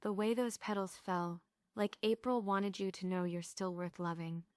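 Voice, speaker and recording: A woman's voice: mid-range voice, steady tone, moderate pace, a little mellow, smooth, no echo, clean studio sound, lightly acted. A woman playing a yearning, stubborn, emotional heroine in a script read of a musical stage play. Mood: tender.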